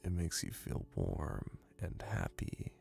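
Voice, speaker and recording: A man acting as a confident, dominant young college hypnotist in an ASMR roleplay, speaking softly with soothing command. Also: a bass voice, big pitch swings, vocal fry, full character voice. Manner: soft, commanding, soothing, deliberate. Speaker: voice actor.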